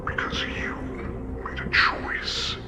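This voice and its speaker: A man playing an ancient wolf-like warlord in a video game, proud and menacing, with a growling voice and slow, grandiose, breathy pronouncements. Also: close to an everyday voice. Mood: solemn, commanding. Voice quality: very deep, gravelly growl. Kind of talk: dramatic video game villain acting. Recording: roomy reverb with music behind.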